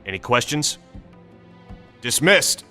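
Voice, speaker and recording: Military briefer, stern American officer: clipped, authoritative, sharp-edged delivery, music underneath. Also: male voice, medium pitch, big pitch swings, takes it slowly, exaggerated voice. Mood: stern, businesslike. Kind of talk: scripted video game mission briefing.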